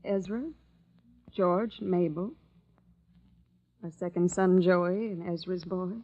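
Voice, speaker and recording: An actress playing the leader's calm, steadfast wife in 1940s radio drama style, gentle and quietly reassuring. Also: female voice, fairly low pitch, takes it slowly, dull audio.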